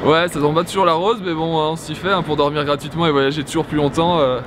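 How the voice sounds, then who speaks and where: Young man chatting over clear street noise. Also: fairly high pitch, steady tone, fast. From Nantes area, western France. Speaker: interviewee, budget backpacker. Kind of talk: casual spontaneous interview answers. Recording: noisy urban street with traffic.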